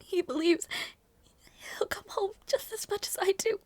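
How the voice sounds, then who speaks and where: High-pitched grieving young princess, voiced by a woman. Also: a little mellow, no echo. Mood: resolute, anguished. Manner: halting, repeats phrases, emotional. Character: grieving young princess, devoted and stubbornly hopeful. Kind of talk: dramatic audio roleplay monologue.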